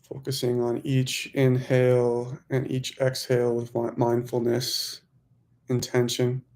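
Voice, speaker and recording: Slow, creaky-voiced man guiding meditation. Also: mid-range voice, monotone. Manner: slow, pausing, gently instructive phrasing. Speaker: meditation guide. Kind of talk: guided meditation.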